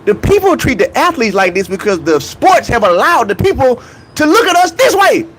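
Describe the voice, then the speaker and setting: Young guy doing a comedic rant, high voice that jumps all over, keeps asking why and laughing, faint hiss behind. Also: normal speed, poor audio. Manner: animated, repeats rhetorical questions, laughs between points. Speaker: track and field commentator.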